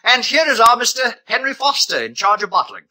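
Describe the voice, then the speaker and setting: An actor playing a pompous, brisk director of a futuristic hatchery, lecturing in 1950s radio drama style. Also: male voice, very high voice, very animated, bright, forceful tone, full character voice. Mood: lofty, disdainful.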